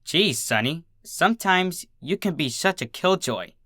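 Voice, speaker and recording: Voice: medium pitch, lively intonation, bright, forceful tone, no echo, studio quality, pitched above the performer's own voice. A storybook reader voicing a young schoolgirl pony filly, earnest and easily bewildered. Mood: annoyed. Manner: halting, mumbling when flustered. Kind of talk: storybook character voice in fan fiction reading.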